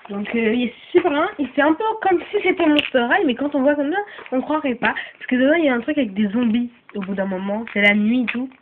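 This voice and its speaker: A fairly high-pitched woman with a cold talks in a loose, rambling way, filling her pauses with little hesitations and apologies. The sound is tinny and phone-like, with a faint hiss underneath. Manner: rambling, full of "euh" and "voilà", apologetic. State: has a cold, coughing. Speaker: channel host selling her used items. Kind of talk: casual chatty sales video.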